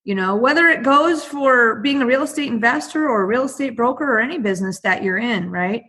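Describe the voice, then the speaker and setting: Host: playful, excitable woman with a husky, breathy voice. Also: on the high side, fairly quick. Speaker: podcast host. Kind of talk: casual interview chat.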